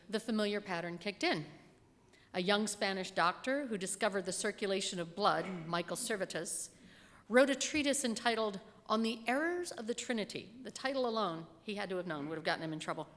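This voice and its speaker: A woman's voice: medium pitch, fairly bright tone. A middle-aged woman reads a written sermon aloud from a lectern, speaking into a pulpit microphone in a church hall. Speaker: lay reader delivering a minister's sermon. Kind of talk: sermon read from a script at a lectern. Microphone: gooseneck lectern microphone. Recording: echoey hall with long reverb.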